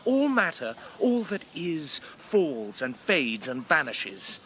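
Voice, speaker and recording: Sharp, scornful young king. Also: on the high side, sing-song, slow, a little hiss or hum, full character voice. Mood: cold conviction. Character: younger ruling king, rigid, scornful skeptic of gods. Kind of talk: classical radio drama acting.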